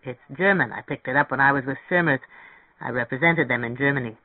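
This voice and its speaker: A man reading a story, playing a cultured, opinionated middle-aged music connoisseur with a measured, knowing manner. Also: mid-range voice, no room sound, narrow, tinny audio, natural voice. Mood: obliging.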